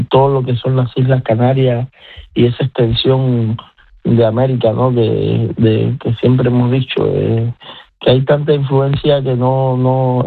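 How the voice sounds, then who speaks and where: A man's voice: mid-range voice, gravelly, no room sound. Man, Cuban Spanish accent, chef guest, tinny phone sound, dry, repeats words. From Cuba. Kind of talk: phone-in radio interview. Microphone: telephone.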